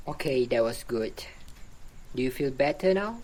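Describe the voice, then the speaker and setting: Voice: medium pitch, lively intonation, normal speed, darkish tone, natural voice, poor audio. An amateur radio drama performer playing a fake psychiatrist, a smug, callous scammer who taunts flippantly and drags out words. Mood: patronizing. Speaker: group therapy leader.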